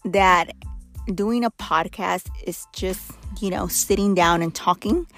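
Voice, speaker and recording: A young woman hosting her own podcast in a blunt, candid, chatty way, hoarse as she loses her voice. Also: lowish voice, slow, a bit bright, faint music behind. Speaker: podcast host. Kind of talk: casual solo podcast talk.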